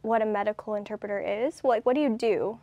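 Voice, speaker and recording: A woman's voice: mid-range voice, fast, warm tone, dry recording, muffled recording. A woman hosting a podcast, asking questions in a friendly casual way. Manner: friendly, asks questions with fillers like "kind of". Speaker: podcast host. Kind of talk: casual interview chat.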